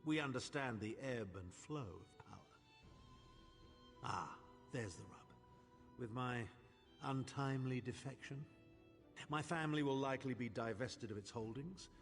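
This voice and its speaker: A man's voice: mid-range voice, a little slow, gravelly, long pauses. A man playing a haughty older imperial chancellor in a video game, speaking in a pompous, sardonic, erudite way. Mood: proud, then bitter, haughty. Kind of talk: theatrical video game dialogue. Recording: long hall reverb, faint music behind.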